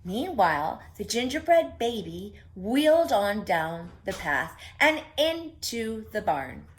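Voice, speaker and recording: A woman reading a picture book aloud for preschoolers, expressive and speaking directly to her young listeners. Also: medium pitch, slow. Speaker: children's story reader.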